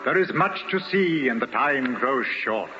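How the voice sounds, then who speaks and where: An actor playing a solemn, stern ghostly guide with formal, archaic speech in a 1950s radio drama. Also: male voice, medium pitch, lively intonation, measured pace, natural voice. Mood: solemn, insistent. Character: solemn, commanding male ghost guiding an old man. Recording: dull old radio recording with music.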